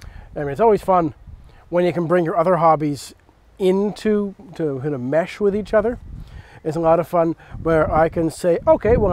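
A man's voice: a little high, noisy background. A man in his thirties chatting casually outdoors as a host, explaining things in a conversational way. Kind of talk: casual hobby chat and cooking demonstration. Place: outdoors in a leafy backyard.

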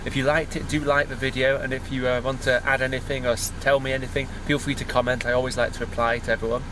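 A man's voice: mid-range voice, level tone, quick pace. A man in his thirties with an English accent, chatting casually and enthusiastically in a rambling vlog style. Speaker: vlogger. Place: outdoors on country lanes, or inside a parked car. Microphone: handheld camera at arm's length. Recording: background noise outdoors and in car.

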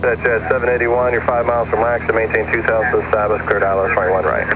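A man's voice: on the low side, level tone, quick pace. A man working as an approach controller gives clipped instructions over aircraft radio. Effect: aircraft radio transmission. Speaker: approach air traffic controller. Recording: muffled, noisy aircraft radio with engine drone. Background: aircraft cabin noise.

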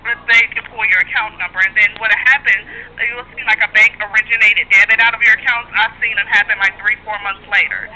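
A woman's voice: medium pitch, fairly even intonation, fast, pushed and bright. A woman working as a bank call center agent, handling a customer service phone call. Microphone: telephone. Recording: phone call with faint line noise.

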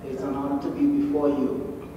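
Polite man from Trinidad, flat-voiced. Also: fairly high pitch, moderate pace. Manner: polite, formal, hesitant. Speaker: audience member asking a question. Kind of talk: formal audience question. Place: lecture hall audience. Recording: echoey hall, far off, dull and noisy.

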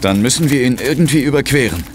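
An actor playing a resolute, dry-witted ghost hunter in an audio drama, speaking and narrating with self-assured drama. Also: male voice, medium pitch, animated, quick pace, background noise, lightly acted. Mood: wry, curious. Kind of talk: audio drama acting with first-person narration. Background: music.

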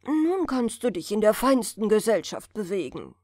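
A woman's voice: medium pitch, big pitch swings, moderate pace, a little mellow, dry recording, studio quality. A woman voicing a jolly young male student in a storybook, friendly and mock-earnest as the student gives advice. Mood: amused, friendly.

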